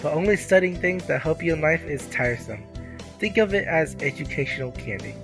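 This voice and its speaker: A man voicing a whimsical male bird who teaches math, measured and gently encouraging, in a visual novel. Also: a little high, creaky. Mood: musing. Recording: music behind the voice.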